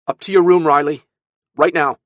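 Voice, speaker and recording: Male narrator as worried young aunt, raised pitch, sharp and firm, emotional, audiobook dialogue, dry studio sound. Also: fairly even intonation, moderate pace, dry recording, highs cut off, natural voice. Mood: sharp anger. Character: distraught, stern young aunt scolding a little boy.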